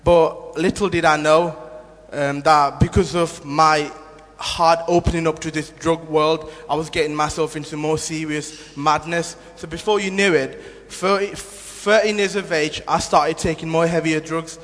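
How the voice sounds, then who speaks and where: A man in his twenties from Nepal, giving a church testimony in an earnest, halting way with many fillers and restarts. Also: on the high side, steady tone, fairly bright tone. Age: 20s. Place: church hall. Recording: long hall reverb.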